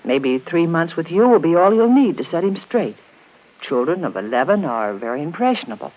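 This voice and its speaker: An actress playing a gentle, reasonable, affectionate fiancée in a 1930s radio soap opera. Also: female voice, low-pitched, sing-song, normal speed. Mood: affectionate, reassuring. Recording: tinny, band-limited 1930s radio broadcast.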